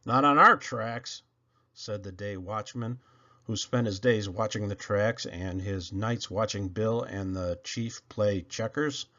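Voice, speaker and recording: A man reading a children's story aloud, narrating expressively with dramatic emphasis and drawn-out words. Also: fairly low pitch, fairly even intonation, highs cut off. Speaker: storybook reader.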